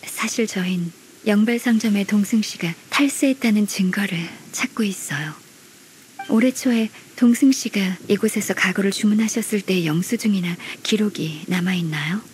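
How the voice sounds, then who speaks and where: An actress playing a courteous, gentle young woman official in a video game, speaking in a measured, formal way. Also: medium pitch, slight background noise, lightly acted. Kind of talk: video game character acting.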